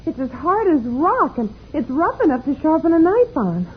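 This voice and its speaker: A woman's voice: high-pitched, big pitch swings, normal speed. A woman playing an alert, forthright girl in an old-time radio space adventure, always questioning. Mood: alert, practical. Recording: muffled noisy old radio recording.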